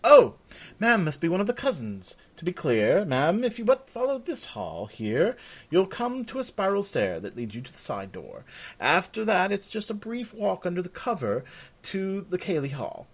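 A man's voice: sits high, sing-song, normal speed, slightly rough, pitched above the performer's own voice, heavily acted. A man voicing a courteous, formal household servant with a deferential manner in a fantasy story. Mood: obliging. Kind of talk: fantasy audiobook character.

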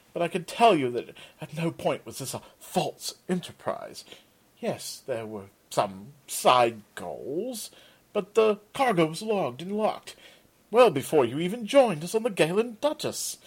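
A man's voice: on the high side, slightly rough, voice pushed up, exaggerated voice. A man voicing a pompous, formal male merchant in an audiobook reading.

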